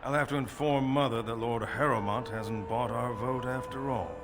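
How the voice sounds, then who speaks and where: A man's voice: mid-range voice, steady tone, moderate pace, lightly acted. A man playing a wry, self-deprecating dwarf nobleman in a video game, with a casual, sardonic delivery. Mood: weary, disillusioned. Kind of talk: video game character acting.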